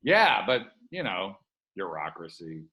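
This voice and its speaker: A man's voice: low voice, sing-song, unhurried, bright, forceful tone, full character voice. A man in a sitcom table read playing a sheepish, apathetic king of the gods, mumbling and offhand.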